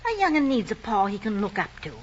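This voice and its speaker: A woman's voice: mid-range voice, big pitch swings, fast talker, lightly acted. An actress playing a weary, reproachful country wife and mother in an old-time radio drama. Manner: rustic, reproachful. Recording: hissy lo-fi vintage radio recording.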